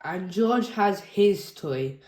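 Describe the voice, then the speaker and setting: Slow, expressive woman reading a children's story. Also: mid-range voice, slight background noise. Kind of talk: children's read-aloud. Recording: echoey room.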